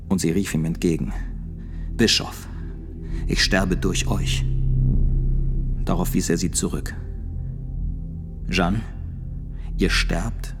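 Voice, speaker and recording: Ein Schauspieler spielt in einem Hörspiel einen ernsten mittelalterlichen Mönch, der langsam und feierlich als Zeuge aussagt. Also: male voice, low voice, creaky, heavily acted. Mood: stern, hushed. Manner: slow, solemn, deliberate recounting. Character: grave medieval friar testifying as witness to an execution. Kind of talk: radio play testimony.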